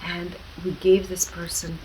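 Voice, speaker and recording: Lower-voiced woman explaining earnestly. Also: monotone, measured pace, warm tone, slight background noise, rough recording. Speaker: guest, alternative wellness practitioner. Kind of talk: explanatory interview talk.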